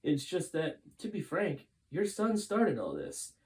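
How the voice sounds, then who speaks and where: A man voicing a courteous, frank and cautious older man in a storybook, with emphatic, measured delivery. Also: fairly high pitch, huge pitch swings, a little mellow, pitched above the performer's own voice. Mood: grave, frank.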